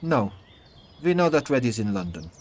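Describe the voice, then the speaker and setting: A man voicing a young-looking immortal huntress with a German accent, measured and formal, in a fantasy audio story. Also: medium pitch, very animated, darkish tone, pitched above the performer's own voice. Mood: guarded. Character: young-looking German huntress, two hundred years old, guarded, neutral.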